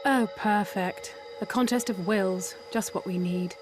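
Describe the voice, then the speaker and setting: An actress playing a wry, resolute young woman fighter in a game, with a brisk, sardonic delivery. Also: medium pitch, over music, lightly acted. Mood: sarcastic. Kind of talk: game cutscene acting.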